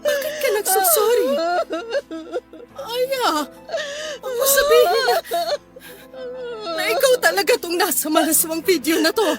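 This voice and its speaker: An outraged mother in an emotional radio drama, her voice pitched extremely high. She scolds and pleads through tears, full of shame, over prominent background music. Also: animated, unhurried, long pauses. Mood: tearful disbelief. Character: outraged, ashamed mother confronting her daughter.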